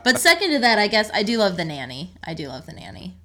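A woman's voice: medium pitch, sing-song, quick pace, a bit bright. Chatty woman co-hosting a podcast. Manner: informal, chatty. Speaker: podcast co-host. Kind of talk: casual chat.

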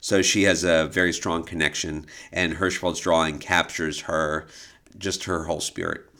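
A man's voice: low-pitched, little pitch movement, normal speed, pushed and bright. A man hosting an informal podcast, explaining history in detail with an enthusiastic, knowledgeable manner. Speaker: podcast host and expert guide.

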